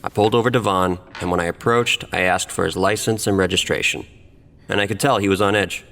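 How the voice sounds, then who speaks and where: A man's voice: low voice, fairly even intonation, a little fast, gravelly, a bit bright, long reverb tail. A man playing a defensive police officer giving formal, self-justifying testimony in a courtroom audio drama.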